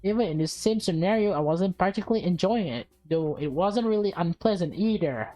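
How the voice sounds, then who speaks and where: A woman's voice: fairly low pitch, expressive, fairly quick, dark tone, soft background music. A woman reading a visual novel aloud with casual asides, often stumbling and rereading phrases. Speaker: gaming video host, reader.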